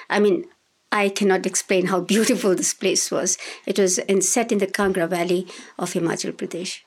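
A woman in her sixties from India, answering interview questions in a thoughtful, hesitant way with many pauses and restarts. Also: medium pitch, darkish tone. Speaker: guest, domestic violence shelter worker.

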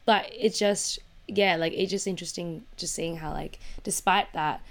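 A woman in casual conversation as a podcast co-host, animated and chatty, often saying "like". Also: on the low side, moderate pace.

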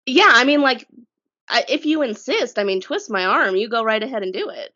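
A woman's voice: normal speed, muffled recording. Chatty congested woman podcast host. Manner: chatty storyteller, lively pitch swings. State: congested with a cold. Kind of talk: casual chat.

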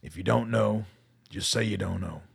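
An actor playing a weary middle-aged colonel in a radio drama, measured and commanding. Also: male voice, on the low side, exaggerated voice. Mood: measured, probing. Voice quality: gravelly, husky. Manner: measured, authoritative.

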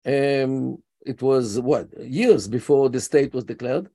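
Israeli man in his seventies, mellow. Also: mid-range voice, slow pace. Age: 70s. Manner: hesitant with many fillers, emphatic. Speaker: guest scholar. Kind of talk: interview conversation.

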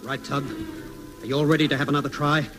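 An actor playing a star's practical, teasing friend, matter-of-fact and coaxing, in 1950s radio sitcom style. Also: male voice, a little high, flat delivery, fast talker, loud music behind the voice, close to an everyday voice. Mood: hushed teasing.

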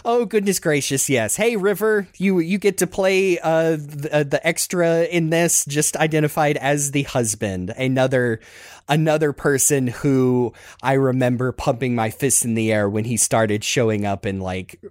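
A man's voice: mid-range voice, normal speed, no room sound. Male podcast host, Southern US accent, effusive and rambling, informal delivery, dry studio-close sound. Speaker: podcast host and amateur voice actor. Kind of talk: casual nostalgic chat.

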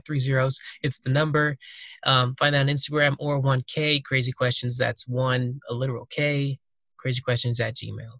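A man's voice: medium pitch, fairly bright tone, dry recording. A man hosting a casual conversational podcast, talking loosely with plenty of fillers and restarts. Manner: loose, rambling, many fillers. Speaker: podcast host.

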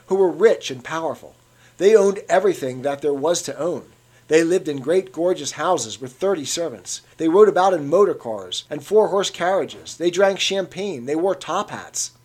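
A man voicing a cautious, earnest younger man who speaks tentatively and in a measured way. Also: on the high side, a little mellow, voice pushed up.

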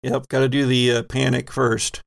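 A man's voice: medium pitch, monotone, moderate pace, vocal fry, no room sound. Man as conversational game master, dry close sound. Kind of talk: improvised tabletop game narration and rules talk.

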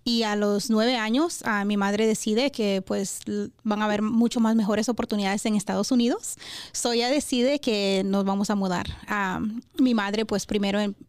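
A woman talks as a podcast guest. Her pitch is average for a woman and the sound is dry, with no room echo. She speaks casually and personally, dropping in English words. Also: fairly even intonation, normal speed, clear, resonant tone. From born in Honduras, grew up in Kentucky from age nine. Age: 30s. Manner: mixes English words into Spanish.

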